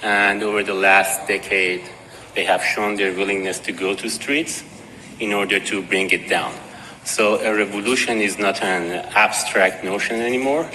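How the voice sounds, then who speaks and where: A man with a Persian accent giving a conference presentation, measured and dotted with hesitations. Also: on the low side, steady tone, sharp tone. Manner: measured, with frequent uh fillers and restarts. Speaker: conference speaker. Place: conference hall. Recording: long hall reverb, faint noise.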